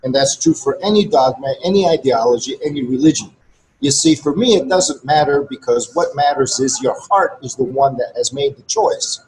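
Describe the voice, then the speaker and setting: A man's voice: medium pitch, lively intonation, normal speed, darkish tone, poor audio. Blunt, earnest Greek-native man lecturing. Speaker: regular co-host. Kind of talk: casual conversation to listeners.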